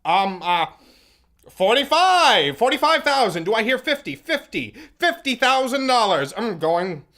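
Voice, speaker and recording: A man's voice: very high-pitched, big pitch swings, unhurried, pushed and bright, full character voice. A man playing a fussy, formal auctioneer in an old-time radio adventure. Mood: brisk, formal. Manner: formal, repetitive auction calling. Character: fussy, obedient crooked auctioneer.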